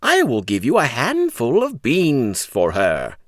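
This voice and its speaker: A man's voice: medium pitch, wildly swooping pitch, unhurried, no echo, studio quality, pitched above the performer's own voice. A man voicing a little old bearded storybook stranger, coaxing and persuasive.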